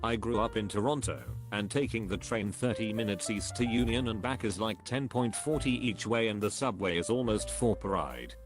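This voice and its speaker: Brief: low male narrator reading posts, flat and even, music underneath. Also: normal speed, few pauses. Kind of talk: scripted narration. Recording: voice-over with clear background music. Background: music bed.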